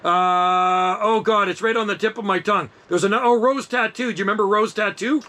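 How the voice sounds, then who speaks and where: Middle aged ham radio guy, high bright voice, slangy and enthusiastic, just chatting. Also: brisk. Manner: enthusiastic, laid-back slangy talk. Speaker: amateur radio operator. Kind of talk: casual radio chat.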